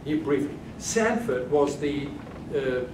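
A man's voice: mid-range voice, lively intonation, slow pace. Older man lecturing in an echoey hall. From Germany. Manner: hesitant, many restarts and fillers. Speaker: keynote speaker. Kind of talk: conference lecture answering questions. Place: large carpeted conference room. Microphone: far room camera. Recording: echoey hall from far off, noisy.

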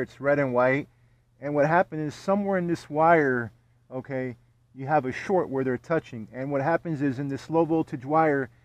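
A man working as an HVAC technician, explaining a repair step by step and checking in with "okay?" after each point, recorded on a body-worn camera in an attic and then in a backyard. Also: dry recording. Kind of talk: hands-on repair tutorial. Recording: dull, dry, low-fidelity sound.

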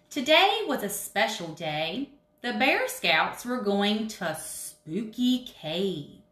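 A woman's voice: fairly high pitch, a bit bright, reverberant. A woman in her thirties reading a picture book aloud to children, explaining carefully and putting questions to her listeners. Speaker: children's story-time reader. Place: indoors in a home room with wood cabinets and brick wall.

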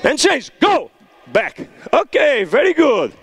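A man's voice: very high-pitched, slow. A middle-aged man coaching players through a soccer drill in a large indoor hall, checking in with "okay?" as he goes. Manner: animated instructions with frequent "okay?" check-ins. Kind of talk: on-field coaching demonstration.

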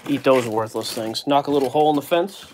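A man's voice: mid-range voice, fast, a little mellow, a little hiss or hum. A man hosting a card break, casually joking while he reads out player names from the packs. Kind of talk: casual card-opening chat. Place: indoor card-breaking table.

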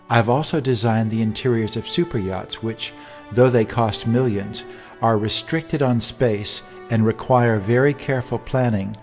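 An older man from Alaska, a home designer, narrating his project in a calm, measured, earnest way. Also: mid-range voice. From Alaska, United States. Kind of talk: scripted narration.

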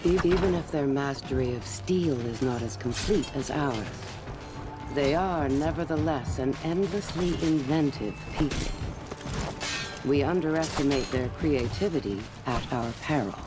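An actor playing a solemn knight who narrates a war chronicle in a grave, measured, formal manner for a game. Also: male voice, a little high, music in the background, natural voice. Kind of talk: scripted dramatic narration.

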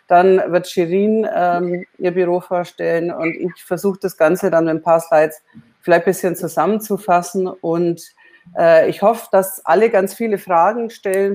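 A woman's voice: on the low side, steady tone, moderate pace, darkish tone. A woman in her forties moderating an online panel from a room at home, talking chattily with many fillers into earphones. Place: home room, video call. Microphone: earphone headset on video call.

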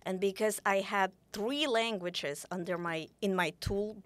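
A woman's voice: medium pitch, a little slow, dry recording. Woman author, dry studio. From Ukraine. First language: Russian. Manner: animated, gesturing, many restarts. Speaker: author guest. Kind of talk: interview conversation. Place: small podcast studio. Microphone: boom mic on desk.

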